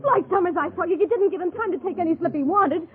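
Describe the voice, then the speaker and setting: Shrill, desperate village wife. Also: female voice, quick pace. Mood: indignant, insistent. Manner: shrill, pleading, insistent. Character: desperate village wife and mother, protesting frantically. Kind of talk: radio drama acting. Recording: noisy, tinny phone-like old radio recording.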